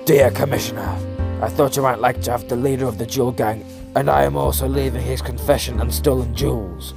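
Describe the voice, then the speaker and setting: A man voicing a calm, menacing masked vigilante who speaks politely and deliberately in a comic book audio drama. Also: medium pitch, vocal fry, lightly acted. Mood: polite, self-assured. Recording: music behind the voice.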